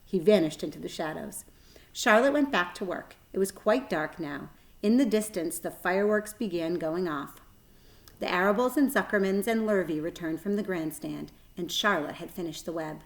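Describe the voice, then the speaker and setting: A woman's voice: lowish voice, moderate pace, gravelly. A woman reading a children's story aloud as narrator, with expression.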